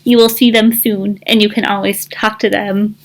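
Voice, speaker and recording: A woman speaking as a school counselor reading a picture book aloud to children, with an encouraging, expressive delivery. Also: medium pitch, moderate pace.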